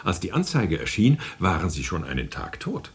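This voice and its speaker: A sly otherworldly radio-play host with an extremely deep, creaking voice. He teases and probes with mock solemnity, swooping theatrically through his lines in a heavy rasp. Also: wildly swooping pitch, normal speed, heavily acted. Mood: smug. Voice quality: very deep, gravelly, raspy. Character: sly, teasing otherworldly host interviewing a dead housewife. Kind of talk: German radio play acting.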